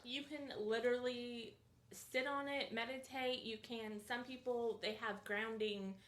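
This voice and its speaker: A woman's voice: fairly high pitch, steady tone, measured pace, a bit bright, roomy sound. A woman from California, chatting easily as podcast co-host and agreeing along. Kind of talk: casual chat.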